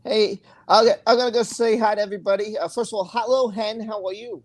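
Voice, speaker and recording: Chatty male live-stream host, greets viewers warmly by name, dry close recording. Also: high-pitched, steady tone, fast, no room sound. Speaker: livestream host. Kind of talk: casual live-stream chat.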